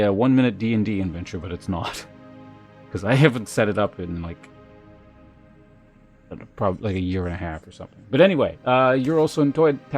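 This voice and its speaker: A man hosting a livestream, chatting casually and reading aloud in a halting, measured way. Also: medium pitch, darkish tone. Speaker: live streamer host. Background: music.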